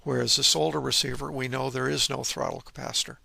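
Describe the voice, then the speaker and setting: A man with a heavily creaky, gravelly voice and a bright, forceful edge presents technical material informally. He explains in measured steps and stresses key words. The sound is close and dry. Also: medium pitch, steady tone, dry recording. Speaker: presenter of a vintage ham radio channel.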